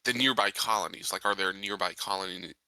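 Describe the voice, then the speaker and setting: Bright, forceful low-voiced man gaming. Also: brisk, no echo. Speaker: tabletop game player. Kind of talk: casual in-game strategy chat.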